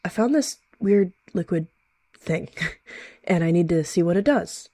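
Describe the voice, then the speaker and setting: A woman's voice: on the low side, expressive, unhurried, warm tone, no echo, studio quality. A woman voicing a scheming evil rogue cat with a casual, falsely friendly and detached way of talking.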